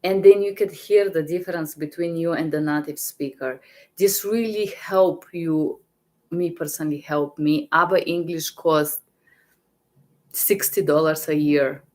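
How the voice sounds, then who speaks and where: Bulgarian-accented lady teaching interpreters, low voice, talks slow, restarts a lot. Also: fairly even intonation, a little mellow. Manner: halting, repeats words, restarts often. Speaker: medical interpreter trainer. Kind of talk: casual live-stream teaching.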